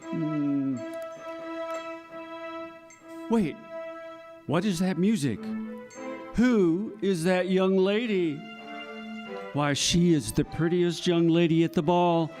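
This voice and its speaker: A man's voice: high voice, slow, long pauses, music in the background. A man playing a vain, self-absorbed young prince in a children's audio play, pompous and entitled. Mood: smitten. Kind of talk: children's audio theater acting.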